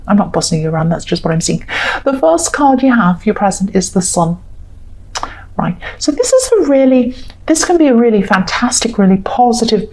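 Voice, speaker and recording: A woman giving a rambling, reassuring tarot reading with a slightly croaky voice. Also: mid-range voice, poor audio. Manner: gentle, reassuring, rambling with self-corrections. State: croaky, clearing throat. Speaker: tarot reader. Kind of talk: casual tarot reading monologue.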